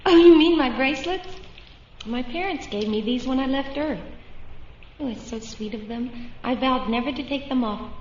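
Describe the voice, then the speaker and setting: A woman's voice: high voice, normal speed, a little mellow, a little hiss or hum, close to an everyday voice. An actress playing a cheerful, curious young female space traveler in a radio drama, breezy and eager. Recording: echoey, slightly dull radio drama sound.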